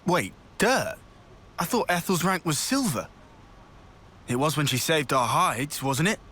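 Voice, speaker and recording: An actor playing an eager, puzzled young soldier in a video game, questioning and informal. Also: male voice, a little high, big pitch swings, a little slow, bright, forceful tone, a little hiss or hum, heavily acted.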